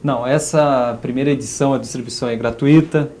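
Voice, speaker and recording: A man in his forties, a journalist, is a guest in a TV studio interview and speaks earnestly, often filling pauses with "é" and "né". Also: medium pitch, steady tone, a little mellow, slight background noise. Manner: earnest, restarts with "é" and "né". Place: TV studio kitchen set, seated. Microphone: lapel.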